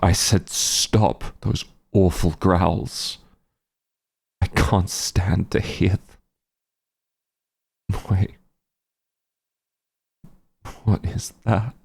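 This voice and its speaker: A man playing a bitter, grieving werewolf hunter in an audio roleplay, gravelly and husky, speaking with halting, menacing intensity. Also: low voice, mellow, heavily acted. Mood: cold, menacing, then disbelieving. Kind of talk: dramatic audio roleplay monologue.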